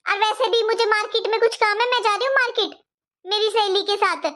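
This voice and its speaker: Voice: very high-pitched, fairly even intonation, fairly quick, sharp tone. A performer voicing a resentful, defiant young married sister-in-law in a cartoon moral story, complaining and scolding. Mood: indignant. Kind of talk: animated moral story dialogue.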